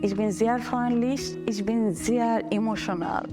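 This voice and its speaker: A woman in her fifties from Bangladesh with a Bengali accent, speaking halting, expressive German in a casual chat with her daughter. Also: fairly high pitch, over music. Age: 50s. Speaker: guest, mother.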